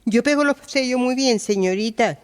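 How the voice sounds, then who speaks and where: A woman's voice: on the high side, moderate pace, in a large hall, lightly acted. An actress playing a humble woman pleading for work in a theatrical radio drama.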